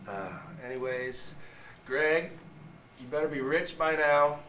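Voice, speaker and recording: A man's voice: fairly high pitch, animated, takes it slowly. Young man, scratchy, cocky joker, echoey distant room recording. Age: young adult. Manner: wisecracking, boastful. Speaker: college football player. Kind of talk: joking interview to camera. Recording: echoey room, off-mic, ambient noise.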